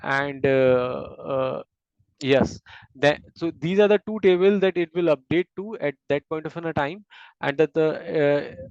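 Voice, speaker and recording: A man gives a technical explanation in a smooth, slightly high voice. He moves through it haltingly, repeating words and often checking for agreement. The sound is dry and a little muffled, like a lo-fi recording. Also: a little mellow, dry recording. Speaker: instructor explaining system design. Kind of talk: technical lecture in a discussion, explaining step by step. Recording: dull, lo-fi close audio.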